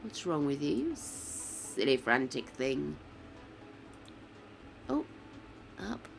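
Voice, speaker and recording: An older woman streaming a building game, chatting casually, swearing freely and muttering to herself, her voice croaky from a sore throat. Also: low-pitched, sing-song, takes it slowly, slight background noise.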